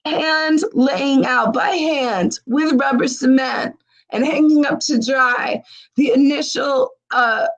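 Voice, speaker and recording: A woman's voice: high voice, poor audio. A woman hosting a livestream fundraiser, losing her voice, urging viewers with urgent, exhorting talk. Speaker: livestream host.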